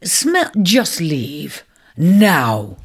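A storyteller voicing a territorial male bull who booms at intruders but softens into a hesitant, kindly tone. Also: lively intonation. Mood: gruff. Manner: booming, then halting and stammering. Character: gruff territorial male bull, grudgingly kind. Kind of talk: storybook character voice.

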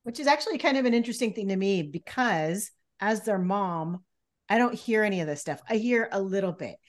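A woman's voice: medium pitch, lively intonation, fairly quick. A middle-aged woman co-hosting a podcast, conversational and guiding as she hands over questions. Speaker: podcast co-host, mother. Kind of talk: casual chat.

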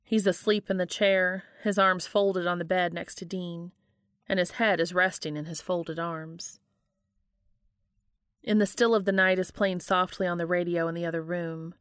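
Low-voiced woman narrating a story. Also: fairly even intonation, normal speed, a bit bright, smooth, no room sound, studio quality. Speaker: fan fiction podcast reader. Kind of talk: audiobook-style narration of a story.

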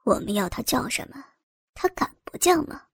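A woman voicing a cold, domineering village man who gives orders in a low, hushed tone. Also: lively intonation, normal speed, gravelly, breathy edge, dry recording, clean studio sound, full character voice. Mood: menacing.

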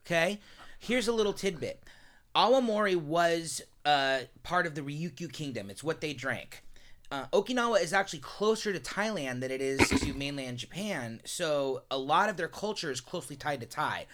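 Slightly high-voiced man, chatty host. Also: animated, moderate pace, a bit bright. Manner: explains history, interjects eagerly. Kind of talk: casual studio chat.

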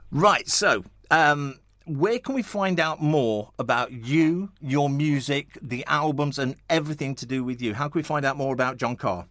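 A man's voice: on the high side, moderate pace, dry recording, clean studio sound. A male radio presenter interviewing a guest, chatty and enthusiastic. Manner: chatty, enthusiastic, warm praise. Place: radio studio.

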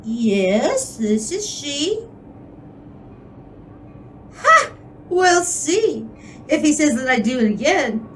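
Woman playing a high-voiced, flirtatious 1920s dame. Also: very animated, a bit bright, a little hiss or hum, full character voice. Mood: brisk, sly. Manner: coy, teasing, drawn-out phrasing. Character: brazen, flirtatious 1920s dame, wheedling and sly. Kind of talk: period audio drama acting.

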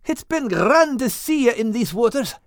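A hearty, warm-hearted Scottish dog in an animal story, acted by a woman. He speaks with a lively rise and fall and a raised pitch. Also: normal speed, dry recording, clean studio sound, lightly acted. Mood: grateful.

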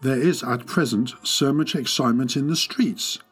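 A narrator voicing a stern older male Victorian coroner, speaking slowly, firmly and formally. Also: medium pitch, slightly rough, soft background music, pitched below the performer's own voice, close to an everyday voice. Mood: firmly refusing. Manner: slow, firm, formal refusal.

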